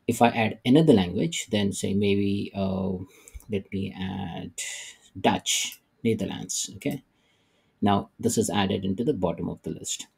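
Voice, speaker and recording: Gravelly, low-toned man explaining software. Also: a little slow. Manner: hesitant, many "uh" and "okay" fillers. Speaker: tech tutorial presenter. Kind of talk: screen-recorded software walkthrough.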